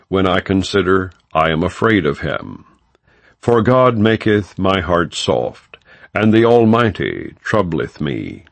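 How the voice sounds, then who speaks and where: A man with a low voice reads scripture as an audiobook narrator. His delivery is solemn, formal and unhurried. The recording is close and dry. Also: creaky, dry recording.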